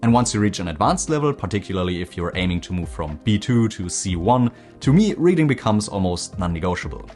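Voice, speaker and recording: Man, lower voice, animated intonation, scripted explainer narration, faint music behind. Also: brisk, few pauses. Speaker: language learning video creator.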